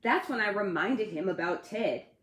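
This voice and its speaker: Animated woman reading a storybook, roomy echo. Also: mid-range voice, moderate pace. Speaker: children's author reading aloud for a library storytime. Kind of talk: expressive children's storybook read-aloud. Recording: roomy, echoey sound.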